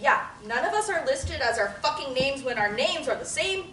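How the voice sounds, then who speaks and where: A woman's voice: sits high, expressive, normal speed, a bit bright. Woman reading a brash, foul-mouthed patient. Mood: angry, mocking. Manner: confrontational, sarcastic. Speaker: table-read actor. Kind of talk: script table reading. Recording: roomy, distant mic, light hiss.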